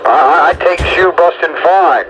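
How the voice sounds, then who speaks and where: A man's voice: fairly high pitch, moderate pace. A man chatting casually and teasingly as an amateur radio operator over two-way radio. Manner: joking, teasing. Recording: very noisy two-way radio transmission.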